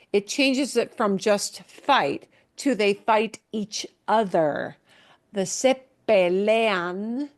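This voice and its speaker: A woman teaching a language class, patiently explaining and repeating words. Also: mid-range voice. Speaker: Spanish teacher.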